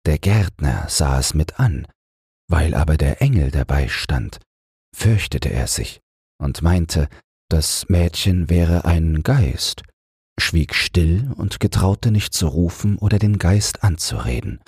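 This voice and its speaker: Male audiobook narrator, very husky, slow, soothing fairy-tale reading, close dry sound. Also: very deep voice, no room sound. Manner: calm, soothing storytelling. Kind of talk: scripted fairy-tale narration for falling asleep.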